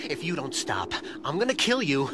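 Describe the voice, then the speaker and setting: A level-headed young American man in a radio drama. He speaks high and expressively with dry, polite teasing, over clearly audible ambient noise. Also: huge pitch swings, moderate pace. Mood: exasperated. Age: young adult. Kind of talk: naturalistic radio drama dialogue. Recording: noisy ambient sound effects behind dialogue.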